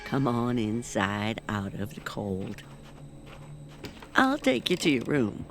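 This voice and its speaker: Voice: mid-range voice, huge pitch swings, exaggerated voice. An actor playing a hunched, folksy old woman innkeeper in an audio drama. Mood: welcoming, folksy. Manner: slow, folksy, hospitable.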